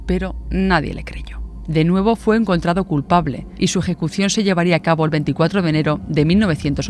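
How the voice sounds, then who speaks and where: A man's voice: fairly high pitch, darkish tone, a little hiss or hum. A man narrating a scripted true-crime podcast.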